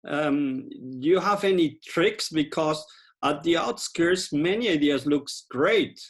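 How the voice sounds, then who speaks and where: A man hosts his podcast, with a voice a bit higher than most men's. He speaks with eager enthusiasm, often doubling his words for emphasis as he introduces his guest and asks questions. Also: takes it slowly. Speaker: podcast host. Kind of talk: podcast interview hosting.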